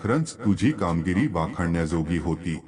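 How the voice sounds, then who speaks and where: A man's voice: deep voice, very animated, normal speed, a little mellow. A man voicing a proud, displeased king in a storybook tale, formal and regal in manner. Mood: dismissive.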